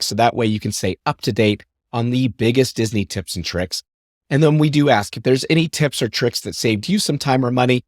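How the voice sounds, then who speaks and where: A podcast host talks in a chatty, upbeat way and wanders into asides. His voice is on the low side and rough from a lingering cough. He speaks close into a headset, and the sound is dry. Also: no echo. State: voice rough and lowered after a recent illness and cough. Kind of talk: casual podcast monologue.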